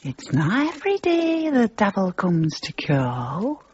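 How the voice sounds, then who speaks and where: An actress playing a scheming middle-aged village witch in a radio play, brisk and knowing. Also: mid-range voice, wildly swooping pitch, darkish tone, a little hiss or hum. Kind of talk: 1990s radio drama acting. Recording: dull muffled radio recording.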